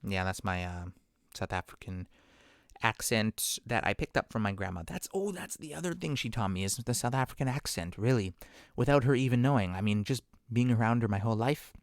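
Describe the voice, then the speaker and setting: A man's voice: mid-range voice, lively intonation, a little fast, dry recording, studio quality. A man talking on a podcast in a loose, rambling monologue, self-deprecating and full of conversational asides. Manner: conversational, many fillers and asides. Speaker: podcast speaker. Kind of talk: casual monologue.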